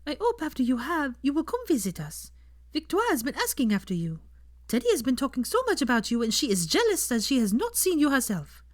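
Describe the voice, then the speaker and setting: A woman narrator acts out an earnest young married woman weighed down by guilt. The voice is high and very animated, pleading in formal, halting phrases, in a dry storybook reading. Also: no echo, exaggerated voice. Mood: pleading, gentle. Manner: halting, formal phrasing without contractions, breaks off mid-sentence.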